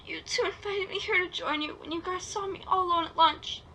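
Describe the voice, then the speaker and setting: A woman's voice: extremely high pitch, brisk, bright, forceful tone, heavily acted. A woman playing a wounded, guilt-ridden teenage girl in an amateur script read, with emotional, plaintive delivery. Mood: grieving. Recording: noisy home microphone recording.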